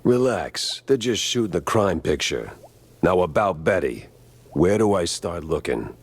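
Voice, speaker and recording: A man's voice: sits low, big pitch swings, unhurried, breathy edge, full character voice. A man playing a hard-boiled, wisecracking mouse private eye in a noir video game, with a dry, sardonic, unflappable manner. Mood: calm, businesslike.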